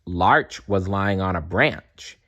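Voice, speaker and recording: A man reads a children's storybook aloud at a very slow, unhurried pace. His slightly low voice moves up and down in an animated way. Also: lo-fi sound. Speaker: storybook reader.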